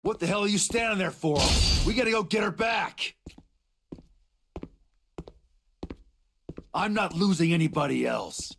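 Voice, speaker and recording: An actor playing a brash, hot-tempered man of action with a gravelly voice, in an anime game dub. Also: sits high, expressive, brisk, a bit bright, slight background noise, full character voice. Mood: shouting, determined.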